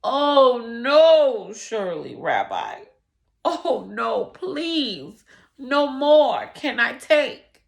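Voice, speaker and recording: A woman voicing a desperate, overwhelmed poor man in a storybook, pleading in drawn-out wails. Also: on the high side, big pitch swings, gravelly, full character voice. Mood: fearful, pleading. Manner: shrieking, wailing, drawn-out pleas. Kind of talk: dramatic storybook read-aloud.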